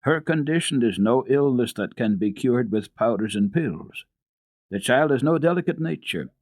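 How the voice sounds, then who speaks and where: An earnest family doctor, read by a man, speaking in a middle-pitched voice. He is grave, firm and deliberate, stressing his advice in a dry storybook recording. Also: animated, darkish tone, no echo. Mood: earnest, challenging. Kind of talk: dramatic storybook reading.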